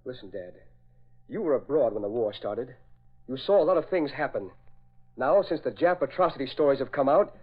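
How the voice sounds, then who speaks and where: An actor playing a defiant, argumentative young playboy son in 1940s radio drama style. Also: male voice, medium pitch, expressive, normal speed, husky. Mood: earnest, insistent. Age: young adult. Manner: insistent, argumentative. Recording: dull vintage radio recording.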